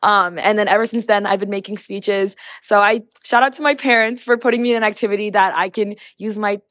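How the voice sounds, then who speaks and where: A teenage girl, a high school senior, answering casually in an interview. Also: fairly even intonation, fairly quick, fairly bright tone, dry recording, clean studio sound. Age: teen.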